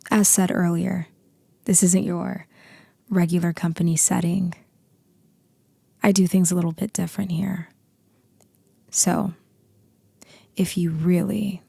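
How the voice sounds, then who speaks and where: A low, very gravelly female boss acted by a woman in an ASMR roleplay. She is dominant, seductive and teasing, speaking slowly and deliberately. Her voice is breathy and often sinks into a whisper, very close and dry. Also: dark tone, no echo, heavily acted. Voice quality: deep, husky, breathy voice. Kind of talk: ASMR roleplay, slow intimate acting.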